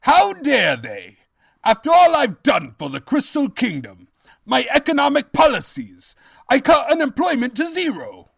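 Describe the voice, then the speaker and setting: A man's voice: very high voice, wildly swooping pitch, unhurried, creaky, breathy edge, no room sound, studio quality, exaggerated voice. An actor playing a pompous evil pony king, grandiose yet cowardly, in a bombastic comedic audio drama style. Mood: indignant, grandiose. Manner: bombastic, theatrical declarations.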